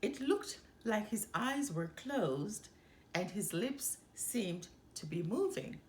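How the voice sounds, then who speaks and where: Female storyteller for a children's read-aloud, lively pitch movement, measured delivery, roomy sound. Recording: echoey room with faint background noise.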